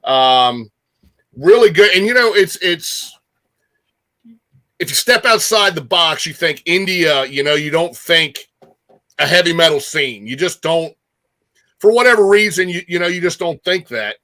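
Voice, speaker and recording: A man's voice: a little high, expressive, a little slow, long pauses, bright, forceful tone. A man hosting a music show in a casual, informal chat, repeating phrases for emphasis.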